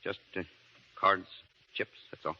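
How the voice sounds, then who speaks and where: A man's voice: unhurried, bright, forceful tone. A stammering, cowardly henchman. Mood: nervous, evasive. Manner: nervous stammering. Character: cowardly, jittery male frontier henchman. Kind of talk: 1930s radio drama acting. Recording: muffled 1930s radio broadcast.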